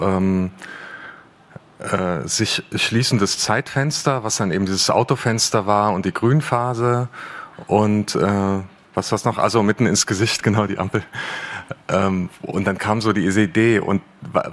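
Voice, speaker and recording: A man with a lowish voice speaks on a panel stage, pausing often with fillers as he explains his work. He slips in dry, self-deprecating jokes. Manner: hesitant, many fillers, self-deprecating humor. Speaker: radio play author and workshop leader. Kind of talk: panel discussion talk. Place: panel stage at an arts academy.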